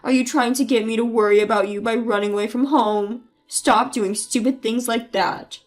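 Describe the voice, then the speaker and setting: A woman voicing a bullying, entitled husband who shouts and belittles his wife, with a lowered voice. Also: steady tone, moderate pace. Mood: angry. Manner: yelling, belittling, then pleading. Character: bullying entitled husband, loud mama's boy.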